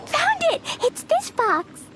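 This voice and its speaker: A woman voicing a cheerful, naive and rash little girl in a video game, with a childlike, excitable manner. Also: very high voice, slow, faint music behind, exaggerated voice, rough recording. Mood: excited. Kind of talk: English video game character dialogue.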